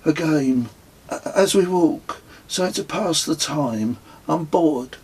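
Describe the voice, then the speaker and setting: A man's voice: a little high, lively intonation, takes it slowly, a little mellow, slightly rough, far from the mic, pitched above the performer's own voice, heavily acted. A man voicing a bored, plaintive nine-year-old boy in an audiobook story. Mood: bored, whining.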